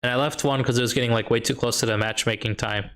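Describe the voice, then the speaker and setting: A young American man with a light Chicago accent streams a strategy game, talking in a relaxed, mid-pitched voice. He muses half to himself and reads out viewer questions as they come in. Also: fast talker. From United States. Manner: thinks aloud, reads and answers chat questions. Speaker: streamer and high school math teacher. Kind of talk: casual live-stream chat while gaming.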